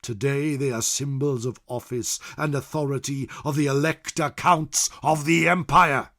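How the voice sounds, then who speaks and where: A man voicing a venerable old scholar in solemn, theatrical fantasy narration, with a husky voice that swells and drops away. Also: mid-range voice, steady tone, normal speed, a bit bright, lightly acted. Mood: solemn, proud. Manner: theatrical, solemn, swelling then dropping to whispers. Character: venerable old male scholar chronicler, grave, fearful, awed by ancient lore. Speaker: storyteller. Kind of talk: dramatic in-character fantasy narration.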